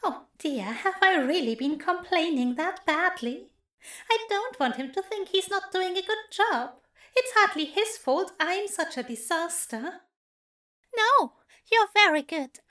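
A woman acts out a flustered, apologetic angel for an audio story. The voice sits very high with lively swings and a bright edge. The delivery is prim, hesitant and polite, recorded close and dry. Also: unhurried, no room sound, heavily acted. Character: fussy, flustered, self-deprecating angel man, a reluctant yoga pupil. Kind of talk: audio fiction character acting.

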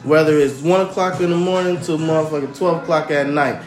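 A young American man with a fairly high voice tells stories on a podcast in slangy, animated talk. The room has a slight echo, with faint music underneath. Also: fairly quick. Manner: slangy, animated, profane storyteller. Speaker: podcast co-host. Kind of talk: casual storytelling chat.

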